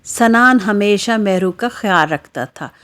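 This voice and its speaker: A woman's voice: mid-range voice, expressive, unhurried, a bit bright. A woman narrating a scripted children's moral story as the storyteller.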